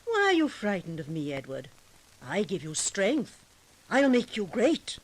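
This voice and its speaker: An actress playing a domineering, scheming murderess in 1940s radio drama style, commanding and coldly coaxing in a melodramatic way. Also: female voice, mid-range voice, huge pitch swings, unhurried, slightly rough, full character voice. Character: domineering, scheming murderous woman controlling a weaker man. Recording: hissy, noisy 1940s radio recording.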